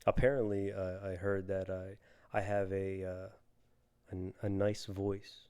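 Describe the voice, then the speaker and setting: Mellow-voiced young man chatting casually. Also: low voice, flat delivery, measured pace, clean tone. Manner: loose and rambling, laughs often. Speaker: podcast co-host. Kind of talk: casual chat.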